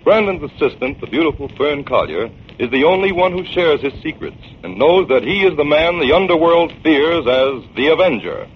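A man's voice: on the high side, sing-song, moderate pace, few pauses. A man announcing in a dramatic, scripted 1940s radio narration style. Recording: muffled, noisy 1940s radio recording.

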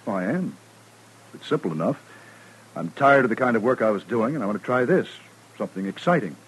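A man's voice: fairly low pitch, animated, a little fast, husky, rough recording. A man acting in a 1950s radio western as an earnest, self-assured former army officer, eager, insistent and formal in manner. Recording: vintage old-time radio recording.

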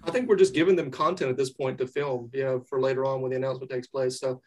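A man's voice: medium pitch, steady tone, quick pace. A man from North Carolina with a faint, fading Southern accent, hosting a casual podcast interview in a laid-back, joking way. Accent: faint North Carolina accent, mixed with New England. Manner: laid-back, jokey, laughs often. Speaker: podcast host.

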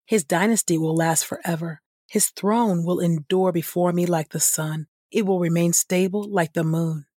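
A woman reading scripture as a podcast host, in a measured style with deliberate, reverent phrasing. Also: on the low side, fairly even intonation, warm tone, husky, no room sound, studio quality.

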